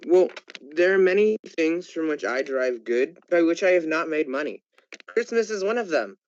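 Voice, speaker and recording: Man playing a cheerful, higher-voiced young nephew. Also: expressive, normal speed, muffled recording, natural voice. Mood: earnest, cheerful. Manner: warm-hearted, earnest. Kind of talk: radio drama acting.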